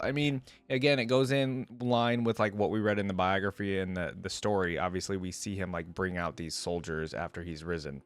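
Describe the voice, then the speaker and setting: A man's voice: lowish voice, no room sound. A man giving casual, off-the-cuff reaction commentary as a host, musing aloud as he goes.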